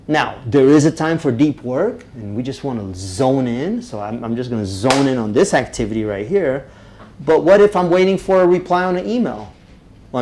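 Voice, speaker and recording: A man from Romania, teaching a live public speaking workshop, energetic and often checking in with the group. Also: medium pitch, a little hiss or hum. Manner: energetic, checks in with "right?" constantly.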